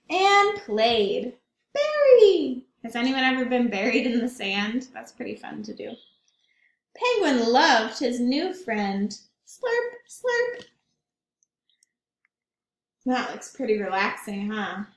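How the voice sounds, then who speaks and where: A woman's voice: on the high side, sing-song, slow, pauses often, roomy sound. A woman teacher reading a picture book aloud to children, often stopping to ask them questions. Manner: warm, questioning, child-directed.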